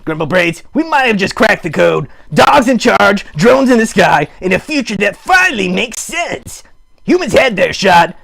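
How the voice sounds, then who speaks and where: High, gravelly, excitable puppet voice. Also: male voice, normal speed, heavily acted. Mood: excited, earnest. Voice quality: raspy. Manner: emphatic, exclamatory, mock-campaign speech. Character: excitable puppet character, earnest champion of dogs. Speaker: puppeteer host. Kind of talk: live improvised puppet show monologue.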